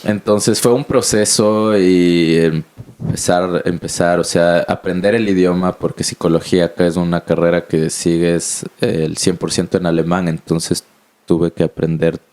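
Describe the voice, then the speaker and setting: Casting: young Ecuadorian man with a very low voice, rambling podcast co-host. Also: flat delivery, moderate pace, darkish tone. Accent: Ecuadorian Spanish, from Quito. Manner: rambling, self-correcting, chatty. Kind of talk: casual chat.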